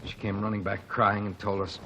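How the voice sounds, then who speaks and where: A man's voice: fairly low pitch, little pitch movement, brisk, a bit bright, a little hiss or hum. An actor playing a plain-spoken working-class male witness in a 1940s radio courtroom drama, telling his testimony in a folksy way.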